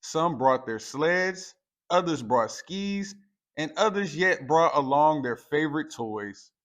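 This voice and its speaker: A man reading a children's picture book aloud as a storyteller, in a deliberate, expressive way. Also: a little high. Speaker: storytime reader.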